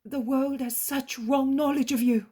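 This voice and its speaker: A woman's voice: sits high, fairly even intonation, a little slow, mellow, husky, pitched above the performer's own voice. A woman voicing an earnest young male disciple who speaks with reverence.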